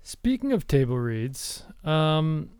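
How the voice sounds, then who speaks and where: A man co-hosting a podcast, talking about screenwriting in an earnest, halting, deadpan comedic way. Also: on the high side. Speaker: podcast co-host.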